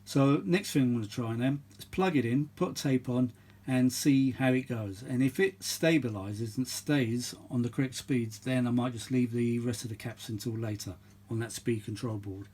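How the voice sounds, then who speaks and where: A man's voice: medium pitch, normal speed, dark tone, husky. A middle-aged or older man giving casual, chatty repair commentary, rambling and thinking aloud as he works. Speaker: vintage audio repair vlogger.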